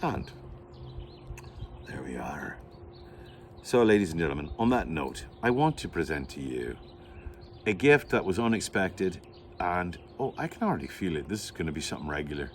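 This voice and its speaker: Low-pitched man, lively swooping delivery, hammy showman hosting an unboxing. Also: normal speed, long pauses, a little hiss or hum. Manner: theatrical, playful showman with mock announcements. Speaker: host, pipe unboxing. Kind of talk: playful unboxing vlog.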